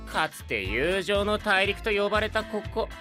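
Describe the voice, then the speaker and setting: High, lilting, slyly polite young knight. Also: male voice, very animated, a little slow, music in the background. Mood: playful. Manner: courteous polite speech with mock-sweet melodrama. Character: polite, sly, theatrical young fantasy knight, teasing. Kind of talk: anime audio drama acting.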